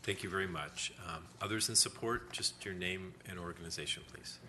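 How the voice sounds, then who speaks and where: A man chairing a committee hearing with brief procedural remarks, recorded with clear background noise and clicks. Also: deep voice, fairly quick.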